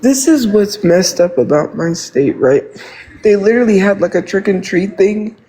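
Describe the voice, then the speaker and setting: A man's voice: high-pitched, lively intonation, normal speed, mellow, rough recording. A man in his thirties with a congested nose, rambling and venting in casual livestream chat. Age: 30s. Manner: rambling, venting, repeats himself.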